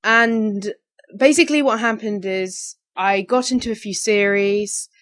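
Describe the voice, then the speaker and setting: A young adult woman, slightly tired, talking in a rambling, informal way as she answers questions about her hobby. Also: mid-range voice. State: slightly tired, recently woken. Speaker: amateur voice actor. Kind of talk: casual question-and-answer vlog.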